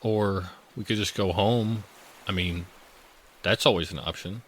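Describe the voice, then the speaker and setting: An actor playing a skeptical, cautious friend in an audio drama, questioning and doubtful. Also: male voice, on the low side, a little slow, a bit bright, slight background noise. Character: skeptical, cautious male friend urging retreat.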